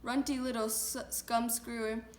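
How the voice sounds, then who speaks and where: Brutish male giant, booming and loud, pitched high, as a woman reader puts him on. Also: level tone, a little slow, roomy sound, a little hiss or hum, lightly acted. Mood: insulting. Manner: shouting, booming.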